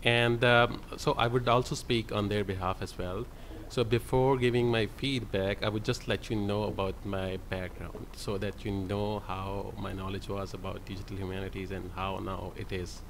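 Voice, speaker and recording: A man with a lowish voice gives an earnest, halting panel talk, leaning on "uh" and "you know" as he goes. He speaks into a table mic at a conference hall, with faint room noise behind him. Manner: hesitant, many fillers, steady and earnest. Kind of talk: panel presentation. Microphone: table microphone.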